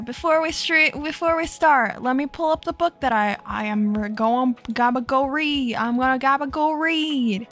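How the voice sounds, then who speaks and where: A woman's voice: sits high, a bit bright. A young woman streamer from Florida chatting casually with her viewers, bubbly and giggly, self-deprecating and easily distracted.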